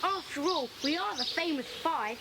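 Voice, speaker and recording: Casting: plucky, inquisitive child in audio drama; piping high, lively intonation, noisy old tape. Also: female voice, normal speed. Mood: keen, plucky. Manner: eager, inquisitive. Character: eager young child, loyal club member. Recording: noisy old cassette transfer.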